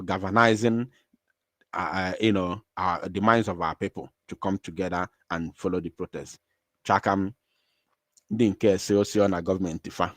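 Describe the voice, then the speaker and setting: A man hosting a livestream, talking with emphatic repetition and sudden swings from quiet to loud. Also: sits low, dry recording. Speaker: livestream host. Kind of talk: impassioned livestream talk.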